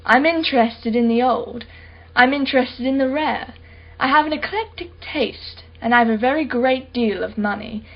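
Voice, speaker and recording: A woman's voice: fairly high pitch, normal speed, muffled recording. An actress playing a wealthy, condescending and sardonic female art collector in a radio play. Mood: self-satisfied. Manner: condescending, mocking, self-satisfied.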